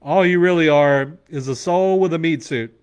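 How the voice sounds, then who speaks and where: A man's voice: on the high side, moderate pace, a little mellow, smooth. Blunt, cursing man in his forties. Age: 40s. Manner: blunt, profane, emphatic. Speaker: men's coach. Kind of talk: motivational Q&A talk.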